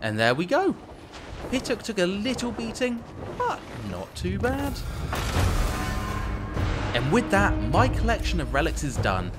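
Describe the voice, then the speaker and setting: A man presenting a strategy video game in an expressive, scripted storytelling narration. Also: sits high, a little slow, long pauses. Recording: game music behind the voice. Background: video game music.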